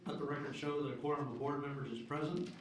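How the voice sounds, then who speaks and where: Hoarse male board chair, halting delivery, picked up from afar in an echoey, noisy room. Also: fairly high pitch, fairly even intonation. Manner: halting, many filler pauses. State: hoarse, losing his voice. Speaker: school board president. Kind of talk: presiding over a public meeting. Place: public meeting room. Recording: echoey room, distant mic, background noise.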